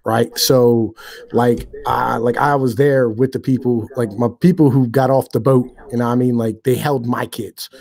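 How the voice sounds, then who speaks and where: A man in his mid-thirties with a mellow, relaxed voice speaks bluntly and swears freely. He leans on street slang and keeps tagging lines with "you know what I mean." He sounds like a guest on a casual talk show. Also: mid-range voice, normal speed, creaky. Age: 30s. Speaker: podcast guest. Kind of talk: casual interview chat.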